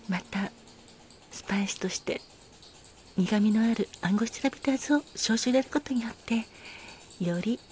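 A woman's voice: medium pitch, a little mellow. A woman in a scripted solo radio-drama narration, speaking formally and politely as the courteous master of a seaside cocktail bar. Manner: polite, formal hospitality speech. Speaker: podcast host playing bar master. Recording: music behind the voice. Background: music.

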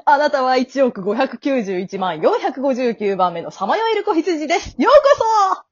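A woman acting a cheerful death god who guides the afterlife in an over-polite sales pitch, in an amateur radio drama. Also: very animated, moderate pace, dry recording, dull audio. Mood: cheerful, breezy.

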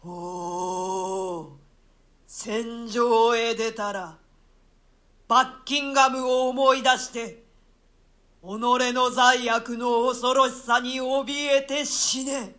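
An actor voicing the vengeful ghost of a murdered nobleman, speaking in slow, solemn curses in a radio-play reading. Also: very high voice, fairly even intonation, bright, forceful tone, heavily acted. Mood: cursing.

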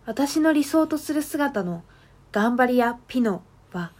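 A woman playing a sorrowful, self-loathing character in a radio drama monologue, gentle, earnest and confessional. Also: sits high, expressive, measured pace. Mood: wistful.

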